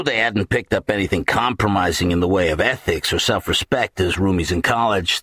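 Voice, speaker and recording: A man's voice: low-pitched, fairly bright tone, breathy edge, dry recording. A man narrating an audiobook, a scripted first-person comic novel, with a wry, dramatic delivery.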